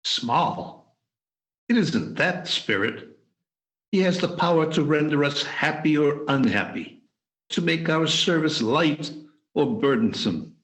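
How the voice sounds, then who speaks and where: Man as an old miser, halting and hesitant, lively high voice, radio play. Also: low-quality recording. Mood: wistful. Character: old miser, softening and remorseful, male. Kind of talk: amateur radio play reading. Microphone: video call.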